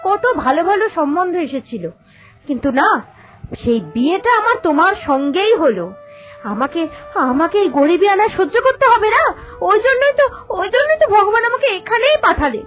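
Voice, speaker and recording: A woman voicing a melodramatic, excitable young wife in a cartoon, with an emphatic, plaintive delivery that repeats phrases. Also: extremely high pitch, brisk, soft background music. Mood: bitter complaining. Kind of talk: dramatic cartoon voice acting.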